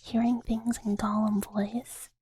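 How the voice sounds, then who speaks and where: A young woman hosting an ASMR stream, whispering close to the mic in a breathy, giggly, self-mocking way. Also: mid-range voice, level tone, slow pace, mellow. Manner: giggly, self-mocking, hesitant with many ums. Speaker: livestream ASMR host.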